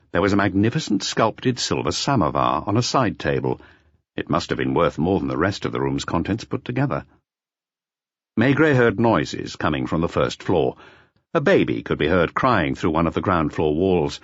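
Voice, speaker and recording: A man's voice: low voice, fairly bright tone, no room sound, highs cut off. A man reading a detective novel aloud as scripted audiobook narration.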